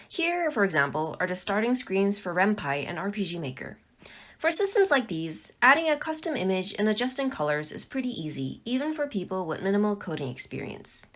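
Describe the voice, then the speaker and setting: Woman presenter with lively, animated pitch. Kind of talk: scripted tutorial narration.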